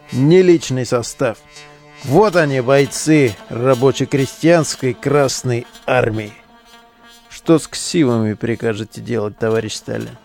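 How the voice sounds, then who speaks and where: An actor in a modern audio drama playing a foul-mouthed, mocking relic digger who talks in coarse slang. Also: male voice, medium pitch, darkish tone. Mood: mock-military deference. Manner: slangy, coarse, jeering banter.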